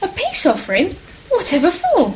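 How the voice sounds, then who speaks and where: An actress plays a genteel English married woman in a period radio drama. She is high-pitched, homely and polite, chatting away over a faint wash of noise. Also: huge pitch swings, normal speed, darkish tone, full character voice, poor audio. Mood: bright, surprised.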